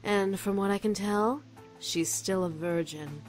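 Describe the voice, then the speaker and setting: A woman's voice: mid-range voice, lightly acted. Woman voice acting a smug seductive demon lady for an anime style game, taunting, drawn out words, music under it. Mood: scornful, taunting. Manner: mocking, drawn-out, condescending. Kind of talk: visual novel game acting. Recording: game audio with music behind.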